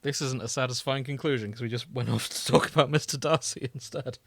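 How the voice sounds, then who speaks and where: A man's voice: medium pitch, fairly even intonation, fast, vocal fry, a bit bright, no echo. Chatty British man hosting, dry close sound. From Britain. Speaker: podcast host. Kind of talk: casual podcast chat.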